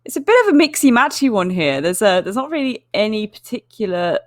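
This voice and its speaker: A woman casting an esports match, giving measured, chatty analysis with frequent fillers. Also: fairly high pitch, big pitch swings, no room sound, studio quality. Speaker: esports caster.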